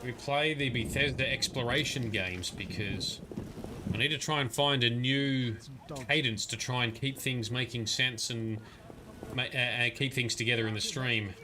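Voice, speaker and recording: A man's voice: mid-range voice, normal speed, noisy background. A man giving casual, rambling commentary while livestreaming a game, muttering asides and grumbling at the characters. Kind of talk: casual live gameplay commentary.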